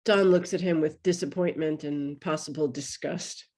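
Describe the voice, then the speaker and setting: Low-pitched woman reading stage directions. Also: fairly even intonation, normal speed, a little mellow. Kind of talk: scripted narration.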